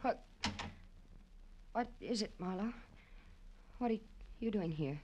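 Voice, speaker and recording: A woman playing a frightened, evasive young hostage with halting speech in a classic radio drama. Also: mid-range voice, lively intonation, highs cut off. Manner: halting, faltering. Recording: noisy old radio drama recording.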